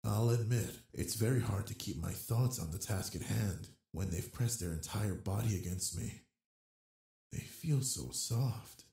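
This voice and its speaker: A man performs a brawny male werewolf alpha for a romantic audio drama. The voice is very husky and low, with a gravelly creak. He is flirtatious yet gentle and protective. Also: heavily acted. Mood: tender, worried thoughts. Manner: slow, soft, teasing. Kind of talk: intimate romantic audio roleplay.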